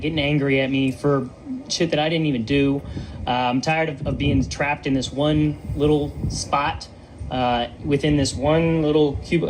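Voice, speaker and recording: A man's voice: a little high, darkish tone, slight background noise, poor audio. Earnest man telling his story. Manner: earnest, with many fillers and restarts. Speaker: online business promoter. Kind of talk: personal testimony in conversation.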